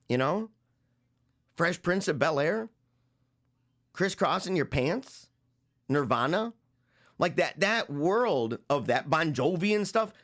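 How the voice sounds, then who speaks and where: Host, male, forties, fairly high voice; unscripted, meandering reflection with restarts. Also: fairly bright tone. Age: 40s. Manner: rambling, halting, repeats phrases while thinking aloud. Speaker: podcast host discussing a book. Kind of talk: reflective solo commentary.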